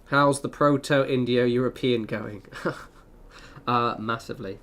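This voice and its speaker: A young man with a mid-pitched voice talks to his livestream audience. He is casual and chatty, rambling through stories and breaking off mid-thought to start again. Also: slow. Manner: rambling, animated storytelling with self-interruptions. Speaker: game livestreamer. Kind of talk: casual livestream chat over gameplay.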